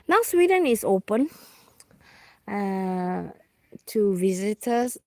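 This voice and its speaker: A woman from Singapore with a Singaporean accent, chatting casually on a live stream while fishing outdoors, halting with fillers and restarts. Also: medium pitch, sing-song, dry recording. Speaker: vlogger.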